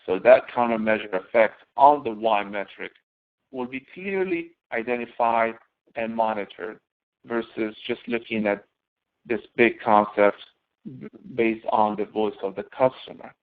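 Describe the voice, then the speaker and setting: Gravelly male instructor on phone-like audio. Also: on the low side, a little slow. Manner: explanatory, poses questions and answers them. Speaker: course instructor. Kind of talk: instructional slide walkthrough.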